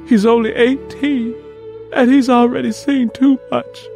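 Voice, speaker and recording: Man voicing a distraught mother, pitched up. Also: little pitch movement, unhurried, vocal fry, music in the background, exaggerated voice. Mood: upset, angry. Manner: emotional, insistent. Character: distraught, fiercely protective mother of a soldier son. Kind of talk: dramatized audiobook dialogue.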